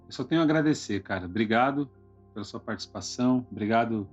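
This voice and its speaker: A man with a husky voice and a Brazilian Portuguese accent, hosting a podcast in a casual, encouraging way. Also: medium pitch, lively intonation, fast talker. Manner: encouraging, informal. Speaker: podcast host. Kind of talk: casual conversational interview. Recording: dull lo-fi sound, faint music.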